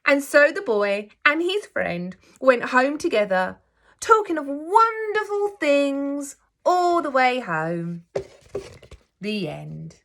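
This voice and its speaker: Woman, children's storytelling, higher-pitched, lively intonation, slow careful pace, expressive. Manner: measured, animated delivery for young children. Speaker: infant school staff member reading to pupils.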